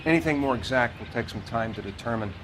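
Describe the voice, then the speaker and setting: An actor playing a wry, articulate, matter-of-fact coroner in a crime video game. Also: male voice, mid-range voice, quick pace, noisy background, lightly acted. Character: dry, candid male coroner, loyal and wry. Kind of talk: dramatic game cutscene acting.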